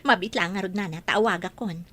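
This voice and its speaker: A woman's voice: medium pitch, fairly quick, sharp tone, dry recording. A woman with an Ilocano accent acting a scheming, meddling character in a comedy radio drama. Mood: conspiring.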